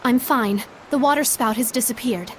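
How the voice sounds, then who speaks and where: A woman's voice: on the high side, moderate pace, slight background noise, close to an everyday voice. A woman voicing a resolute princess who commands her guards in a video game, decisive in manner. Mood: composed. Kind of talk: English game voice acting.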